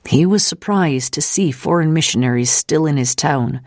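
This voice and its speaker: A steadfast, composed missionary woman, as an audiobook narrator reads her, recounts hardship gravely and deliberately. Her voice is mid-pitched, recorded close and dry. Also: a little mellow, no room sound, natural voice. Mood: steady, composed.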